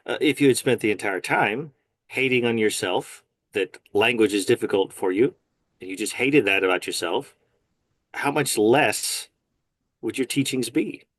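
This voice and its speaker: A man's voice: medium pitch, normal speed, a bit bright, no room sound. A man speaking as a guest in a casual faith conversation, earnest and emphatic, often agreeing firmly. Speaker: guest, nurse.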